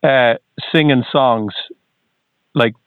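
A man interviewing a musician, asking relaxed questions with brief hums in between. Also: mid-range voice, very slow, clear, resonant tone, no echo, narrow, tinny audio.

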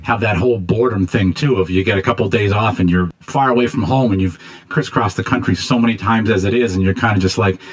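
A man's voice: fairly low pitch, quick pace, hardly any pauses. A man co-hosting a radio interview, talking loosely with lots of fillers and restarts. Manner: loose, filler-heavy, easygoing. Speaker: radio co-host. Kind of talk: casual interview chat.

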